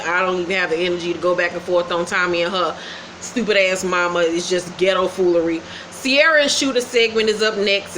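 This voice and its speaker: A woman's voice: mid-range voice, bright, forceful tone, slight background noise, rough recording. A woman hosting a casual gossip recap, blunt, sassy and profane. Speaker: host.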